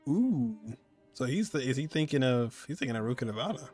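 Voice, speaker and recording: A man's voice: mid-range voice, sing-song, fairly quick, darkish tone, slightly rough. Young man streaming, casual game reactions. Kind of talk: casual live reaction commentary. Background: game music.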